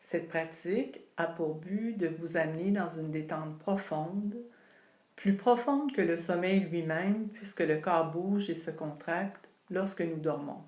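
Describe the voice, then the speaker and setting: A woman leading a guided yoga relaxation, speaking calmly and soothingly with long pauses between phrases. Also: on the low side. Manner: calm, unhurried, soothing delivery with long pauses. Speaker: yoga nidra instructor. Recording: roomy, echoing sound.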